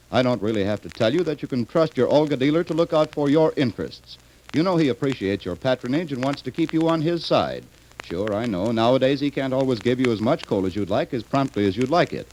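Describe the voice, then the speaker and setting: A North American man works as a radio announcer in the 1940s manner. His medium-pitched voice moves with lively, emphatic swings as he delivers folksy, earnest sponsor copy. A faint hiss sits on the vintage recording. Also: quick pace, poor audio.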